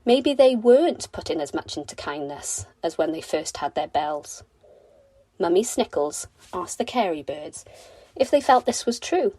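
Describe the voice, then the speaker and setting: Animated woman reading a bedtime story. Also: lowish voice, husky, dry recording. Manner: deliberate, animated storytelling for children. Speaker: storybook reader. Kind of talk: expressive bedtime story narration.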